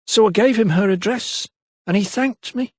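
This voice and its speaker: Guy putting on a shy young maid, high voice, rustic talk, very dry sound. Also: normal speed, darkish tone, slightly rough, no room sound. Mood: timid, earnest. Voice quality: rustic nonstandard speech. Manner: hesitant, deferential. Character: timid young female maid, working-class.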